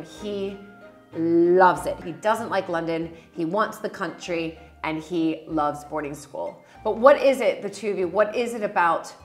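Chatty American woman in a roomy kitchen. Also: fairly low pitch. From United States. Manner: chatty, laughing, many fillers. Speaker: channel host. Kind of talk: casual chat to camera. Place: large farmhouse kitchen. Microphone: lapel mic.

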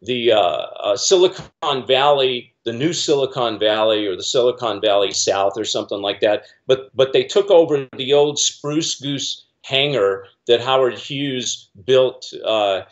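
A man's voice: mid-range voice, measured pace, hardly any pauses. Middle-aged man, earnest rambling talker, slightly muffled video-call sound. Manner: earnest, rambling with many fillers and restarts. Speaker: guest commentator. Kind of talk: casual video-call discussion. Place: small room at home, indoors.

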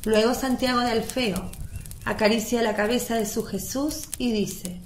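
A woman's voice: fairly high pitch, fairly even intonation, unhurried. A woman reading scripted narration for a radio drama. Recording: roomy echo, faint background noise.

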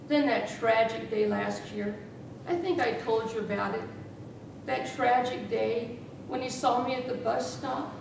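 A middle-aged woman giving a humorous tall-tale speech with theatrical, deliberate delivery and dramatic emphasis. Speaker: contest speaker. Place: small meeting room with rows of chairs. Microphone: far camera. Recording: echoey room from far off, noisy rumble.

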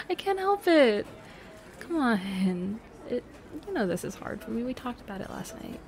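A woman's voice: a little high, sing-song, measured pace, crowd noise. A woman playing a playful, affectionate secret girlfriend in an intimate roleplay audio, hesitant and trailing off as she teases. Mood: tender. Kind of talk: intimate roleplay audio, casual improvised-sounding chat. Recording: noticeable cafe ambience noise behind.

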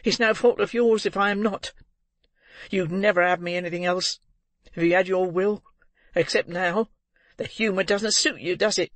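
A man's voice: sits high, normal speed, fairly bright tone, no room sound, pitched above the performer's own voice. A man voicing a bitter, defiant young woman of the criminal underworld in a storybook reading, speaking emphatically. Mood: taunting, defiant.

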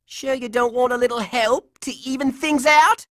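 A woman's voice: high-pitched, moderate pace, a bit bright, no echo, clean studio sound. A woman voicing a scrappy, tough-talking, hot-headed boy hero in a cartoon video game, brash and combative. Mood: cocky, determined.

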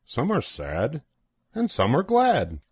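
An older man reading a children's picture book aloud in an expressive, sing-song way with deliberate pauses. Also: medium pitch, breathy edge, no room sound, studio quality. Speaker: storybook reader.

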